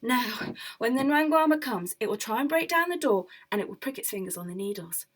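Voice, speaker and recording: A fretful village woman from a storybook, fearing the monster, as a woman storyteller acts her out. She chants her worries in a loud, high sing-song. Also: slow, recorded from a distance. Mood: anxious.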